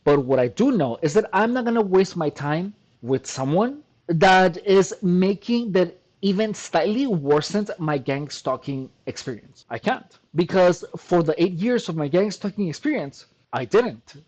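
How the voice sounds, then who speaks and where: A man with a fairly high-pitched voice talks straight to his audience in a casual monologue. He is emphatic and keeps circling back, often breaking in with "look" and starting his sentences over. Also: lively intonation, measured pace, a little mellow, low-quality recording. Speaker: channel host. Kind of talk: casual talking-to-camera monologue.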